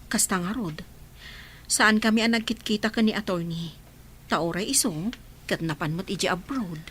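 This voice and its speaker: A woman acting as a protective, earnest village mother in an Ilocano radio drama. Her voice sits at an average pitch and carries an Ilocano accent. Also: normal speed, a bit bright, slight background noise, close to an everyday voice. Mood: confiding.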